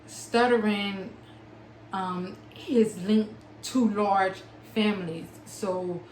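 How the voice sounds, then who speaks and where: A young adult woman talking to her viewers, stuttering with long pauses and blocks and smacking her lips between words. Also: mid-range voice, steady tone, a little mellow, reverberant, a little hiss or hum, poor audio. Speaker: small business owner and author. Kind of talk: personal talk to camera.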